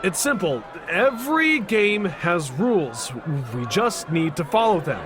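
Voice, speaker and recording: A woman's voice: fairly low pitch, big pitch swings, a little slow, music in the background, a crowd in the background. A woman playing a levelheaded young male office worker who reasons things through in an audio drama. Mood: calm, insistent.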